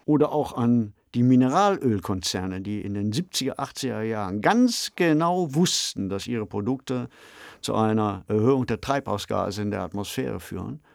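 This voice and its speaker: A man's voice: fairly low pitch, expressive, normal speed, gravelly, dry recording, clean studio sound. A man co-hosting a discussion podcast. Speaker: podcast co-host.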